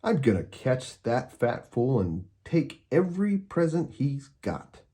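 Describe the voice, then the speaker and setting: A man's voice: mid-range voice, big pitch swings, slow pace, dark tone, pitched above the performer's own voice. A man voicing a bratty, scheming wicked boy in a storybook, greedy and scornful, snarling and scoffing.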